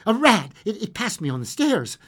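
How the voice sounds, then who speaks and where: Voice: medium pitch, sing-song, normal speed, slight background noise, pitched above the performer's own voice, natural voice. A storyteller acting out a trembling, terrified old man. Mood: shaken, evasive. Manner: shaky, stammering, pleading.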